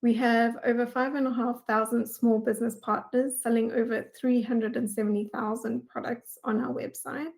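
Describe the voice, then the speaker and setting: A South African woman presents as a guest speaker on a webinar. Her voice is fairly high with a strong creak, and her delivery is prepared yet informal and conversational. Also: level tone, moderate pace, few pauses, darkish tone. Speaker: guest speaker, data engineering manager.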